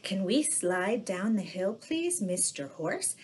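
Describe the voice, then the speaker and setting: A woman voicing eager, polite little animal friends in a children's storybook, in an excitable manner. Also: mid-range voice, takes it slowly, noisy background. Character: eager little animal friends, cat, dog, pig, duck.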